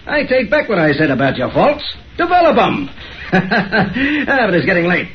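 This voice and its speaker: Genial older political boss, high swooping voice. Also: male voice, huge pitch swings, moderate pace, a little hiss or hum. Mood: jovial. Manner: jovial, fatherly, folksy. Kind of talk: 1930s radio acting. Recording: muffled old radio recording.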